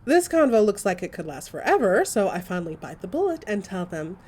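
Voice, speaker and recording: A woman acting a chatty, excitable gossip who tells stories to a friend in a theatrical, emphatic way, in a comic audio drama monologue. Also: medium pitch, a little fast, slight background noise, lightly acted. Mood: gossipy.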